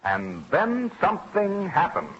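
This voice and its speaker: Animated, high-pitched vintage radio narrator man. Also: measured pace. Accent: American. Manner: dramatic, declamatory delivery. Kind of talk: scripted old-time radio narration. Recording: dull vintage radio recording with music behind. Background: music.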